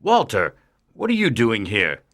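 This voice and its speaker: Woman doing a deep-voiced alien teacher. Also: sing-song, a little slow, a bit bright, heavily acted. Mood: surprised. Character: male alien disguised as a schoolteacher.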